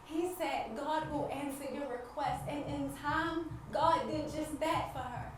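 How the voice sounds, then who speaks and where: A high-voiced woman heard from far off in a very echoey hall with faint noise. She is an actress playing a devout wife, anguished yet hopeful, delivering an emphatic theatrical monologue to the audience with dramatic pauses. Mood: awed, earnest. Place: stage play in a large echoey room.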